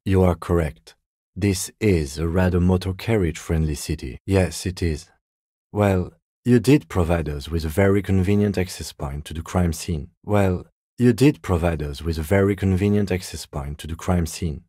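An actor playing a composed, courteous police lieutenant in a video game, with measured, dryly sardonic, deadpan delivery and a low, husky voice. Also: male voice, dry recording, clean studio sound. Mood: calm, formal. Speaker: game character, police detective. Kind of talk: video game dialogue voice lines.

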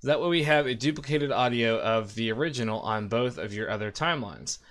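A man in his thirties giving an informal, upbeat screen-recorded software tutorial as host. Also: mid-range voice, lively intonation, fairly quick. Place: indoor room at a desk.

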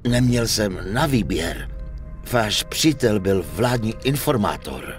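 Cold, defiant gang boss, animated intonation. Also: male voice, on the low side, faint music behind. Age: middle-aged. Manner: formal, composed, defiant. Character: defiant, cold middle-aged male Chinese gang boss. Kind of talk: dramatic dubbed video game acting.

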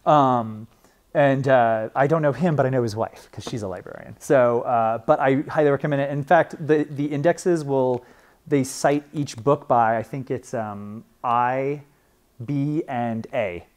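A man's voice: medium pitch, a little slow, darkish tone. Chatty man teaching a class. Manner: casual, chatty, with many fillers and restarts. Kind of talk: informal classroom talk.

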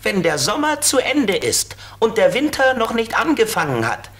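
A man's voice: high voice, very animated, moderate pace, a bit bright, husky, exaggerated voice. An actor voicing a friendly, playful little flying ladybird car in a 1970s children's radio play, cheerful and reassuring. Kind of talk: 1970s children's audio drama acting.

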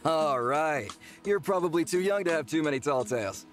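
A man's voice: fairly high pitch, lively intonation, normal speed, over music, natural voice. A man voicing a friendly, easygoing young construction worker, chummy and casual, in an anime game cutscene.

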